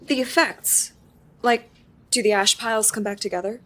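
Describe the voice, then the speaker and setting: A woman's voice: on the high side, fairly even intonation, moderate pace, a bit bright, natural voice. A woman playing an uncertain, guilt-prone newcomer to a support group in an audio drama, hesitant and questioning. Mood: unsure, questioning.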